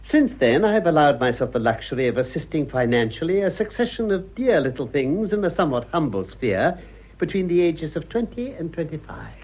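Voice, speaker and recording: An actor playing an urbane, cynical older British gentleman in a radio drama, with dry, suave irony. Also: fairly high pitch, big pitch swings, brisk, slightly rough. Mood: amused. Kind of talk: radio drama acting of a stage comedy. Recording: dull lo-fi radio drama recording.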